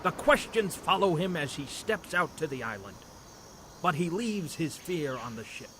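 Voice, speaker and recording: Guy doing comic book narrator, super dramatic, swoopy highish voice, some background noise. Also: very animated, moderate pace, bright, forceful tone. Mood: ominous. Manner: melodramatic. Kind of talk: theatrical scripted narration.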